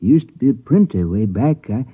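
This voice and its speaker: A man's voice: mid-range voice, very animated, normal speed, breathy edge. An actor playing a shabby, humble old man looking for work in a vintage radio drama, hesitant and meekly polite. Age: older. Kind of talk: 1950s radio drama acting. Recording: tinny, narrow old radio recording.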